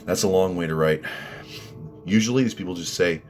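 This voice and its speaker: Very deep-voiced man ranting combatively. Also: fairly quick, darkish tone, soft background music. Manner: combative, rambling, with frequent restarts and fillers. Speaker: vlogger answering critics. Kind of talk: ranting commentary.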